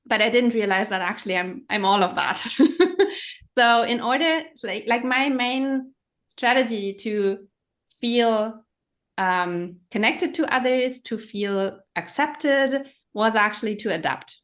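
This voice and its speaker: A woman's voice: fairly high pitch, unhurried, fairly bright tone. Reflective woman on a call. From Germany. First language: German. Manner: reflective, searching for words. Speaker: podcast guest. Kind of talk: casual conversation.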